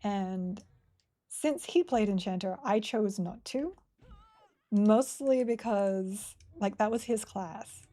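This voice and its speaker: A woman at her desk mic, chatting casually while she plays a game live on stream. Her voice is mellow and soft-edged at an everyday pitch. She talks in a rambling, giggly way, trailing off and picking up again. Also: slow pace. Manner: chatty, rambling, giggly, reads chat aloud.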